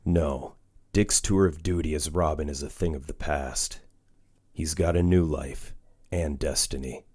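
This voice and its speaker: A man playing a grim, stern vigilante mentor in a comic dub, brooding and terse, with measured delivery. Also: extremely low pitch, steady tone, darkish tone, breathy edge, heavily acted. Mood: stern, reserved. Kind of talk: comic dub narration and dialogue. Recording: music behind the voice.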